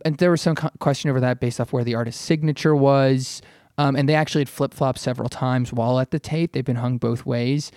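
A man's voice: medium pitch, steady tone, brisk, darkish tone, no echo, clean studio sound. A man on a podcast explaining things in a casual, conversational way, often qualifying what he says. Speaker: writer on a podcast.

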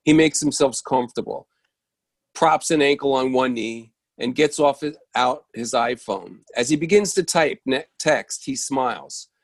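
A man's voice: medium pitch, measured pace, dry recording. A man reads a play script's stage directions in a mid-range voice. He sometimes trips on a word and corrects it. The sound is dry, with little room to it. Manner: stumbles and corrects himself. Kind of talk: reading a play script aloud.

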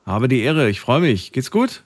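A man hosting a late-night radio call-in show in German, chatty and given to laughing with his callers. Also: moderate pace. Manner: chatty, laughs often, lively pitch swings. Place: radio studio.

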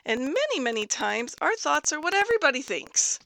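A woman life coach giving a casual motivational talk, slightly hoarse from a cold, upbeat and chatty, laughing often as she speaks. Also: high-pitched, big pitch swings, brisk, sharp tone, no echo. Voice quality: raspy.

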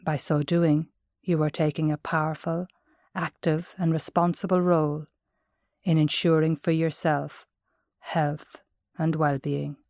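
An Irish woman narrates a guided mindfulness meditation in a low-pitched voice. Her delivery is gentle and measured, like careful instruction. The sound is dry, with no room around it. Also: little pitch movement, dry recording.

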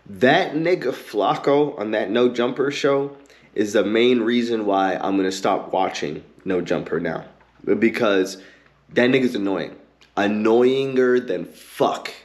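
A man hosting his own podcast, ranting heatedly and cursing a lot, with an occasional stutter and pauses filled with um and like. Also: fairly low pitch. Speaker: podcast host. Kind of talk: ranting commentary.

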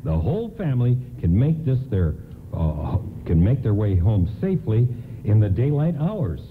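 A man's voice: lowish voice, big pitch swings, moderate pace. An older man acting in a nostalgic radio play as a patient, avuncular, reassuring 1950s department store doorman. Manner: avuncular, reassuring, hesitating politely. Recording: lo-fi hissy radio play recording.

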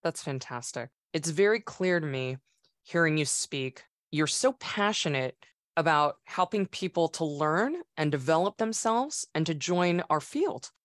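A woman hosting an interview, asking questions in a warm, encouraging way. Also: low-pitched, expressive, measured pace, no echo, studio quality. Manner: warm and encouraging, complimenting the guest. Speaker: podcast host.

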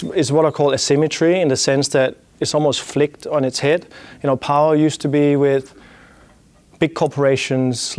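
A man's voice: medium pitch, a little mellow, no room sound. A man with a Danish accent giving a business talk, thinking aloud with many restarts and "you know" fillers.

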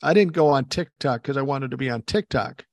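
A man's voice: medium pitch, a little fast, gravelly, no room sound, clean studio sound. A man in his sixties, a podcast guest on a video call, talking bluntly and emphatically with lots of swearing. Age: 60s. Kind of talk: casual chat over a video call.

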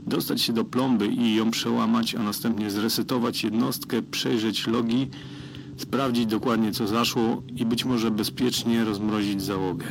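A man's voice: medium pitch, fairly even intonation, normal speed, vocal fry, slight background noise, natural voice, rough recording. A man playing a worried spaceship crewman methodically and formally recording a solitary audio log in a radio drama. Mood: anxious, resolved. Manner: methodical, formal, dryly reporting.

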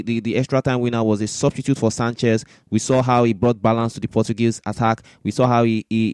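A man's voice: mid-range voice, a little mellow, clear, resonant tone, no room sound. A man hosting a sports discussion, giving opinionated, argumentative commentary with points repeated for emphasis. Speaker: sports podcast host.

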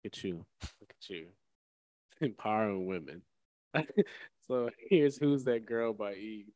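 A man's voice: fairly low pitch, lively intonation, measured pace, darkish tone, a little hiss or hum, poor audio. A man co-hosting a radio show in a casual music discussion, asking questions and often repeating himself.

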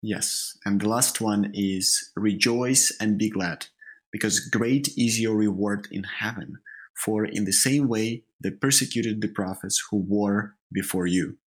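A man with a heavy Russian accent, a native Russian speaker, co-hosting a spiritual discussion podcast and often halting to repeat words as he searches for phrasing. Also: fairly low pitch, breathy edge, poor audio. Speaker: podcast co-host.

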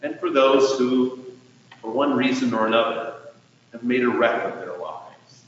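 An American man preaching a sermon as a pastor, speaking deliberately with rhetorical questions and pauses for emphasis. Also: mid-range voice, slight background noise. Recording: echoey hall, distant mic, muffled sound.

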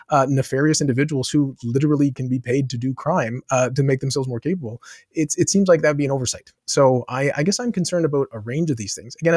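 A man's voice: a little high, a little mellow, husky, dry recording, studio quality. A man answering as a podcast guest, thinking aloud with many asides and restarts. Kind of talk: conversational interview answers.

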